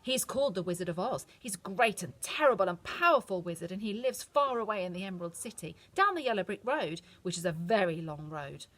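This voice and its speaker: A woman voicing a kindly, motherly old good witch who speaks in a gentle, reassuring way. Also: mid-range voice, expressive, brisk, few pauses. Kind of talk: storybook reading.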